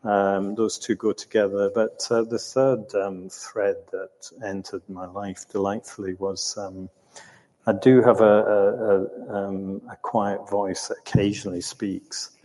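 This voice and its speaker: An older man recounting his own life in an interview, speaking reflectively in a halting, thoughtful way with long hesitations. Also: lowish voice, level tone, a little mellow. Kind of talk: reflective storytelling in conversation. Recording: roomy hall with long reverb.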